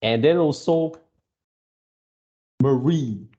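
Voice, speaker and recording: A young man in his early twenties with a mellow, dark tone at a middling pitch. He hosts a casual reaction video, addressing viewers directly. His delivery is halting, circling back to repeat and reword his phrases. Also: muffled recording. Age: early 20s. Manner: halting, repeats and rephrases himself. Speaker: video channel host.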